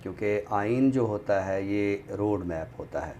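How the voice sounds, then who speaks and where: A man with a Pakistani accent, speaking as an expert guest on a literary talk show in a measured, explanatory way. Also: lowish voice, dark tone, a little hiss or hum. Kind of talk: conversational commentary on a talk show.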